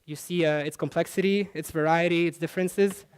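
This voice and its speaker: A man's voice: on the high side, steady tone, a little fast, smooth. A young man from Central Asia, a native Russian speaker, giving a chatty conference presentation with many fillers and restarts. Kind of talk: presentation talk.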